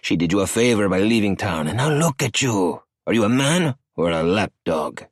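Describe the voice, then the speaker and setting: A man's voice: on the low side, sing-song, normal speed, no room sound, studio quality. A man doing a sneering cartel boss. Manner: sneering, taunting. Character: arrogant, mocking male cartel boss with a cigar.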